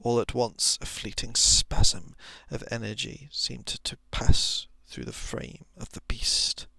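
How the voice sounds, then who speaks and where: A man softly reading a horror story in ASMR style, often slipping into a whisper, with deliberate dramatic pauses, close to the microphone. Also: medium pitch, dry recording. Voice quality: soft, breathy, often dropping into whisper.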